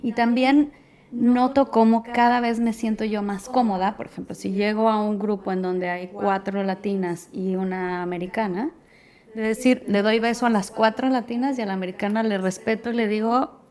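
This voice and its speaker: A middle-aged woman from Mexico, a guest in a talk show who explains her ideas in a lively, practical way. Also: medium pitch, normal speed. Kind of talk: casual conversational interview.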